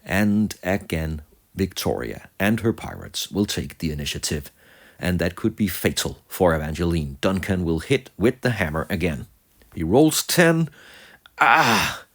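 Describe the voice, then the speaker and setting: A man narrating a tabletop wargame play by play in measured, deliberate short phrases. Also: sits low, expressive. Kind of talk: play-by-play narration of miniature game moves.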